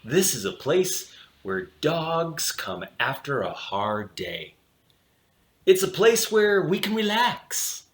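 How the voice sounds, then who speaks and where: Man playing a self-assured dog boss, swooping pitch. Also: very animated, takes it slowly, echoey room, slight background noise. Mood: proud. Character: male pet dog, cool self-assured club boss. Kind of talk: storybook character voice.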